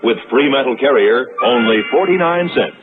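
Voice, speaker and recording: A man's voice: medium pitch, expressive, moderate pace, over music. A man announcing a vintage radio commercial. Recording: tinny old radio ad.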